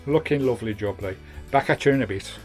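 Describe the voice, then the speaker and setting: British male vanlife vlogger, mid-pitched, casual and chatty, slangy matey delivery, music in the background. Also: fast talker. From United Kingdom. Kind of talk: casual hands-on video tour and fitting commentary. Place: inside a small campervan.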